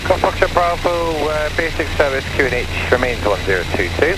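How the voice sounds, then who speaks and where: A man's voice: on the high side, lively intonation, fairly quick. A man working as an air traffic controller, giving clipped procedural instructions over aviation radio. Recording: radio transmission under loud engine noise. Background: aircraft engine.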